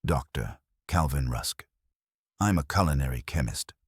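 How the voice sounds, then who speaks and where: A man's voice: a bass voice, animated, unhurried, slightly rough, dry recording, clean studio sound, full character voice. A man voicing an earnest culinary chemist in his late thirties, formal and stiff, in an audio mystery. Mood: guarded, serious. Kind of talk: detective audio drama.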